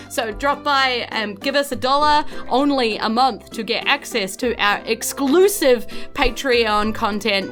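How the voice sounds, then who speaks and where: A woman with a New Zealand accent hosts and narrates a tabletop game. Her voice sits fairly high and has a very bright, forward edge. She talks in a chatty way, with faint music behind her. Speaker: game master and podcast host.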